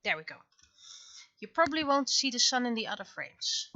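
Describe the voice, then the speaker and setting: A woman's voice: fairly high pitch, sing-song, a little fast, bright, forceful tone, no room sound, lo-fi sound. A woman livestreaming as she draws, thinking aloud casually and muttering to herself as she works. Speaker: livestreaming webcomic artist. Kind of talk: casual livestream commentary while drawing.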